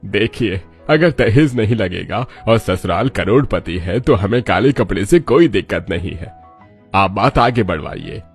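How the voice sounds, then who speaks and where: A man's voice: on the low side, lively intonation, a little slow, breathy edge, full character voice. A man playing a worried, earnest middle-aged father in a cartoon family story. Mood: persuasive. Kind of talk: Hindi cartoon story dialogue. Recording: music bed behind the voice.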